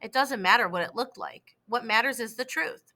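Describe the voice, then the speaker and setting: A woman plays a patient, kindly male schoolteacher from a children's storybook. The delivery is gentle and measured, with a bright tone. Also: on the high side, pitched above the performer's own voice. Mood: calm, kindly.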